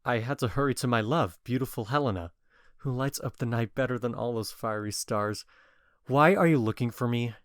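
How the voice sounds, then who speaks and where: Guy acting a fickle lovestruck young man, earnest, shakespeare audio play, medium pitch, dry sound. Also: normal speed, dry recording, close to an everyday voice. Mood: cold, infatuated. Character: bewitched young male lover, earnest and bluntly fickle. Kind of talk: modern-English Shakespeare audio drama acting.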